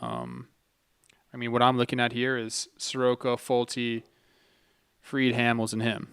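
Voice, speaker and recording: A man's voice: medium pitch, fairly even intonation, a little slow, fairly bright tone, clear, resonant tone, dry recording. Male sports analyst, conversational, clean resonant voice, dry close recording. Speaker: podcast co-host, former ballplayer.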